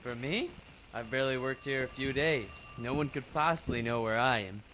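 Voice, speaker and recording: An actor playing an anxious, evasive war veteran and drifter, edgy and clipped, in an old-time radio drama. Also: male voice, medium pitch, normal speed, noisy background, close to an everyday voice. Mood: wary.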